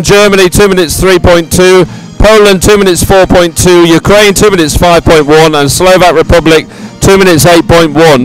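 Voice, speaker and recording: A man with a fairly high voice gives live commentary at a sporting event. He speaks haltingly, filling time as he waits, with faint music behind him. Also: poor audio. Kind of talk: live commentary on results and medal ceremony.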